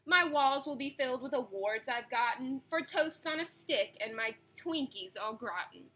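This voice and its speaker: Woman voicing an excitable, high-voiced boastful little schoolboy. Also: exaggerated voice. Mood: proud, grand. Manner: excitable, grandly declaiming in rhyme. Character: eager, imaginative, boastful eight-year-old schoolboy in storybook.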